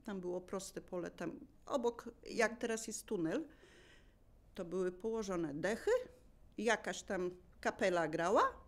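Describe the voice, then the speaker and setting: Older female, local resident type, average pitch, relaxed conversational reminiscing. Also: unhurried. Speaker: local resident sharing memories. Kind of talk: casual reminiscing.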